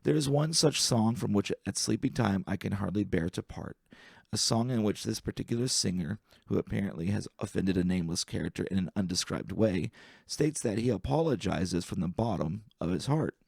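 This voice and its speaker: A man with a lowish voice and a lot of gravelly fry reads light verse aloud. His delivery is wry and deliberate, each word carefully pronounced. The sound is close and dry, with very little room in it. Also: expressive, a little mellow, no echo. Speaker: amateur reader of poetry. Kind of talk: poetry reading aloud.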